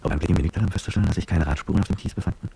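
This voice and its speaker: An actor playing a brooding petrol-station attendant and former soldier, narrating his memories in terse, reflective radio drama style with a gravelly voice. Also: deep voice, very fast, mellow, exaggerated voice. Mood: brooding, uneasy. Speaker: narrator and protagonist. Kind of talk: literary first-person radio drama narration.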